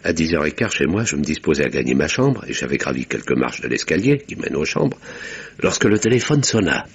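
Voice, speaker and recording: A grave doctor in a vintage French radio mystery, with a very deep voice that swings widely in pitch. His diction is formal and theatrical, polite yet insistent, over a noisy old recording. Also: big pitch swings, a little fast, vocal fry, muffled recording, exaggerated voice. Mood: measured, narrating. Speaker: actor and first-person narrator.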